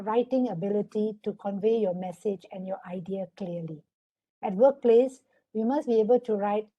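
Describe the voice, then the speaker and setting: A woman's voice: medium pitch, mellow, rough recording. A woman with a Malaysian English accent, giving an instructive webinar lecture as a trainer and often checking in with listeners. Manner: instructive, often asks for agreement.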